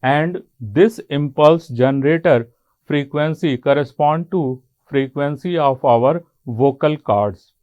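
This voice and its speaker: A man's voice: fairly high pitch, takes it slowly. Phone-like recording, Indian male lecturer. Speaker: college lecturer. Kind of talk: technical lecture. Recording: muffled, phone-like narrow band.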